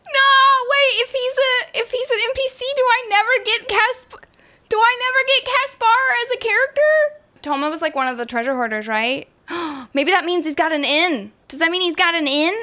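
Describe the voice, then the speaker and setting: A woman doing casual live gameplay commentary as a streamer, playful and excitable in her reactions. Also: very high voice, moderate pace. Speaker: game streamer.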